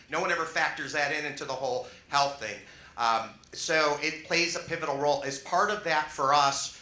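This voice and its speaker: A man's voice: medium pitch, sharp tone. A man speaking on a conference panel, earnest and explanatory, stressing his figures. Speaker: panelist, investor. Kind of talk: panel talk. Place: conference hall. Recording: roomy conference hall.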